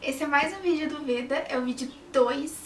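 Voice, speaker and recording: A woman's voice: high-pitched, moderate pace, slight background noise. A young woman, a journalism student, chatting casually to camera and often restarting her sentences. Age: young adult. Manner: chatty, restarts often, says "sabe?" a lot. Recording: roomy echo, mic a bit far off.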